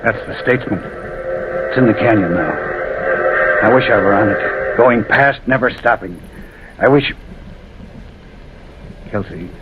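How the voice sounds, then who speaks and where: A man's voice: on the low side, unhurried, pauses often, natural voice. Guy playing haunted american railroad man in an old radio play, desperate, repeats himself, muffled noisy audio. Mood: fatalistic, brooding. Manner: fraught, intense, repeats phrases insistently. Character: haunted railroad signalman, desperate, obsessed with a ghost. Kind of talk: old-time American radio drama acting.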